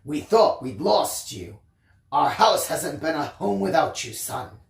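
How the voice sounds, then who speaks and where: A concerned, gentle father from an audiobook, read by a woman. The voice sits low and reasons soothingly at an unhurried pace in an echoey room. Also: big pitch swings, gravelly, full character voice. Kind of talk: dramatised audiobook reading.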